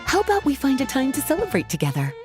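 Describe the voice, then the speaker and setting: Playful scholar with swooping intonation. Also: female voice, high voice, wildly swooping pitch, quick pace. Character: confident, teasing, languid woman scholar and mage. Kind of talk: anime video game voice acting. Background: music.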